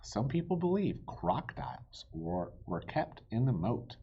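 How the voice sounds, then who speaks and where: A man reading a children's book aloud as an expressive narrator. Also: medium pitch, unhurried, husky, dull audio. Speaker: storybook reader.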